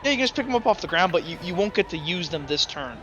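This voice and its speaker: A man's voice: sits high, animated, quick pace, sharp tone, muffled recording, soft background music. A man running a tabletop game as game master, rambling with frequent restarts.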